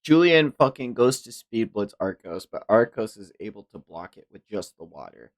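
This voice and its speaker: A man's voice: lowish voice, sing-song, moderate pace. A man streaming a comic read-along, narrating dramatically and reacting in casual, profane language.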